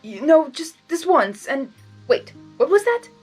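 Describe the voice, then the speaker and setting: Casting: awkward male chaos creature, played by a woman, higher-pitched, stammering, defensive bluster, storybook dialogue over music. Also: very animated, measured pace, a little mellow. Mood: awkward, flustered. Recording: background music under the voice.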